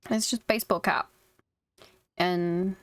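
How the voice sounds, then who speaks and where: A woman's voice: fairly low pitch, fairly even intonation, slow, no room sound. A woman streaming a game, giving casual, wry, joking live commentary.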